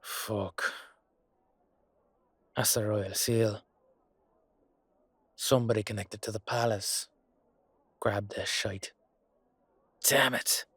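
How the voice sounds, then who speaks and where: A low, close and dry male voice from an actor as a fantasy knight with an Irish accent. He is gruff, fiercely protective and hot-headed, with a wry, loyal way of talking, made for a roleplay audio drama. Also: fairly even intonation, slow, long pauses, a bit bright, no room sound, exaggerated voice. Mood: grim, wary. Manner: gruff, swearing, colloquial.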